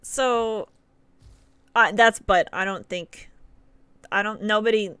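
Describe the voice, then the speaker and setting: Bright-voiced Nova Scotian woman streaming. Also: medium pitch, steady tone, slow pace, clean tone, dry recording. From Nova Scotia, Canada. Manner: chatty, upbeat, laughs often, reads out chat. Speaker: livestreamer. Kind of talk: casual live gameplay chat with viewers. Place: small indoor room.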